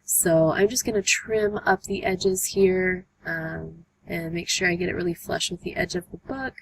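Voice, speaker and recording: A young woman with a hoarse voice from a cold, hosting a casual art tutorial voice-over with lots of "um" fillers. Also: on the low side, steady tone, unhurried, a little hiss or hum, rough recording. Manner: chatty, many "um" fillers. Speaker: art journaling tutorial host.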